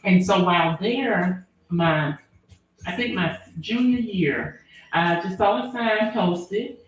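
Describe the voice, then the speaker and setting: A woman attorney, answering interview questions in an earnest, measured way with frequent fillers. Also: mid-range voice. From Alabama, US. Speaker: attorney and former prosecutor. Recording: very echoey room.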